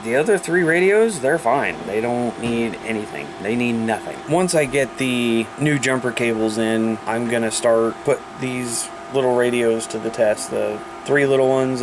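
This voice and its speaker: Casual American male hobbyist host, mid-pitched, colloquial and unscripted, recorded over clear steady background noise. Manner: colloquial, informal, thinking aloud. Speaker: hobbyist video host showing radios. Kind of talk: casual unscripted show-and-tell vlog.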